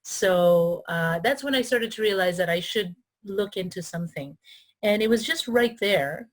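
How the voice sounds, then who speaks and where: A woman's voice: medium pitch, lively intonation, unhurried. A middle-aged woman from the Philippines, raised in Canada, chatting as an interview guest with frequent restarts. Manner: chatty, with restarts and light laughs. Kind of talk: casual interview talk.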